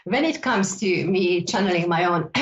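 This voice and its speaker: A woman with a Hungarian accent speaks in a slightly low voice as host of a psychic show. She teaches in a loose, rambling way, repeating herself and leaning on "you know". The recording sounds muffled and lo-fi. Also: expressive, measured pace. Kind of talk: casual teaching talk. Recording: dull lo-fi sound.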